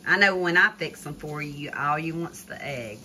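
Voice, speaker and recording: An older woman chatting casually from behind a phone camera in a home kitchen, gently coaxing a child with tag questions while filming. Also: sits low, expressive, normal speed, a little hiss or hum. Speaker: vlogger filming a grandchild cooking. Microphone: phone camera held by the speaker. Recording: kitchen clatter of pots and dishes.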